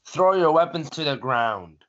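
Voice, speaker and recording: A male student performer playing a stern, angry prince, speaking in a halting, commanding way in a play reading. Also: a little high, expressive, a bit bright. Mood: stern, threatening. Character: stern angry prince rebuking his warring subjects. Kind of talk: student reader's theatre. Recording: dull, muffled lo-fi audio.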